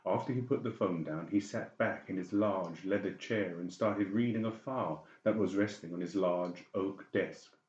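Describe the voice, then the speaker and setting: Low-pitched male horror audiobook narrator. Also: moderate pace, few pauses, darkish tone, reverberant, highs cut off. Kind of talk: dramatic scripted horror narration.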